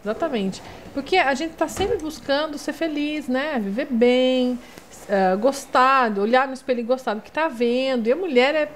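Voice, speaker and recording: A woman's voice: sits high, normal speed, a little hiss or hum. A middle-aged woman with a Brazilian accent, chatting as the host of a TV interview in a studio. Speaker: TV show host.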